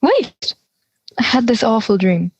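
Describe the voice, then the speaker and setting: A woman's voice: fairly high pitch, very animated, a little slow, a little mellow, husky, no echo, heavily acted. A young woman playing an anxious, exasperated teenage schoolgirl in conversational audio drama dialogue. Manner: exasperated, worried. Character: anxious schoolgirl dreading a class presentation.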